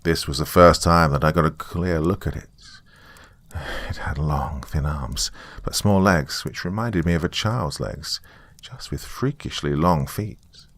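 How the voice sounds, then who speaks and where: Male horror storyteller, low register with heavy vocal fry, scripted first-person narration, very dry sound. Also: measured pace, a bit bright, no room sound.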